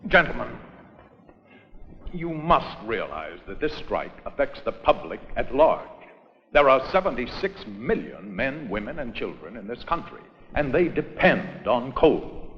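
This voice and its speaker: An actor playing a forceful, blustery American president and reformer in an educational film re-enactment, speaking in an emphatic, oratorical way. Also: male voice, medium pitch, big pitch swings, measured pace. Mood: grave, resolute. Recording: muffled old 16mm film, reverberant room.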